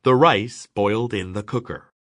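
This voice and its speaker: A man reading step-by-step sentences for an English lesson, deliberate and clearly enunciated. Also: fairly low pitch, a bit bright, dry recording, clean studio sound. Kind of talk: scripted read-along narration.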